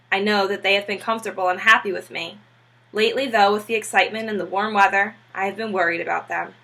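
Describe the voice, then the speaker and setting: Woman reading as an earnest, husky, modest family man. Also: medium pitch, pushed and bright, low-quality recording.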